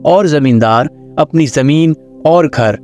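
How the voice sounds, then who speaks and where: Male storyteller, a little high in pitch, scripted children's cartoon narration over light music. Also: takes it slowly, a little mellow.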